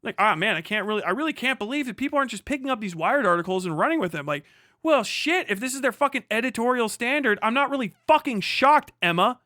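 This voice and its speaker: A man's voice: very high voice, animated, quick pace, pushed and bright, dry recording, studio quality. A man doing heated live reaction commentary as a stream host, profane, combative and mocking. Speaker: livestream host reacting to a clip.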